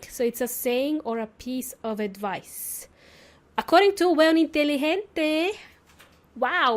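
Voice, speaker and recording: High pitched serbian-native woman doing a chatty upbeat english lesson podcast, playful, lots of questions to listeners. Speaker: English teacher and podcast host. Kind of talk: solo teaching podcast monologue.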